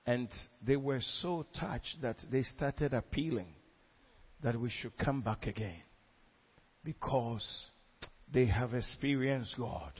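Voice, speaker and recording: A man's voice: mid-range voice, takes it slowly, breathy edge. A man preaching with fervent, exclamatory energy, often repeating his words. His voice sits in an ordinary middle range, and the recording sounds dull and lo-fi. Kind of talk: sermon testimony.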